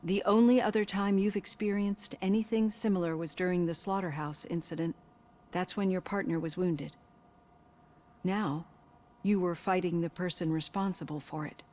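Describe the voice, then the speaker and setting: A woman acts out an analytical psychologist in a video game. Her voice is on the low side, composed and measured as she probes her patient with careful questions. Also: steady tone, darkish tone, natural voice. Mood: measured, probing. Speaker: therapist. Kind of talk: scripted game dialogue.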